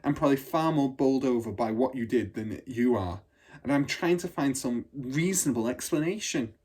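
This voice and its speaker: A man's voice: medium pitch, normal speed, darkish tone, husky, lightly acted. A man voicing a kind, patient and cautious woman schoolteacher in a children's storybook, speaking in a careful, explanatory way. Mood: thoughtful, explaining. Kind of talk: children's storybook read aloud.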